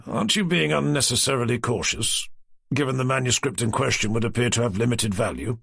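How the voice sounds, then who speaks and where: A man doing a dry, measured police inspector. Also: medium pitch, gravelly, a bit bright, slightly rough, dry recording. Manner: measured and probing. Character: calm, dry-witted male police chief inspector.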